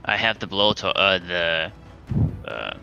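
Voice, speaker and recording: Very bright, low-pitched man, halting. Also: big pitch swings, vocal fry, lo-fi sound. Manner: halting, hesitant. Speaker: player. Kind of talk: casual game chat. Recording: music behind the voice.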